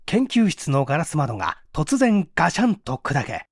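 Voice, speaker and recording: Male audiobook reader, dramatic mystery narration, lively intonation, slightly high voice, dry sound. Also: normal speed, no room sound.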